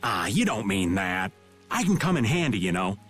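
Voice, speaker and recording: A man's voice: fairly low pitch, sing-song, moderate pace, a bit bright. A man voicing a shady, jovial middle-aged drifter in a video game, theatrical and teasing with feigned innocence. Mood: playful wheedling. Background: game music.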